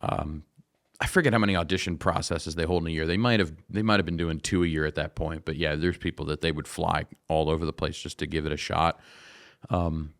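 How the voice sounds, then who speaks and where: Male interview guest, low and smooth, easygoing conversational delivery, close dry sound. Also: fairly even intonation, a little fast, a bit bright, no echo. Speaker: interview guest, singer and musician. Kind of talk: casual storytelling in an interview.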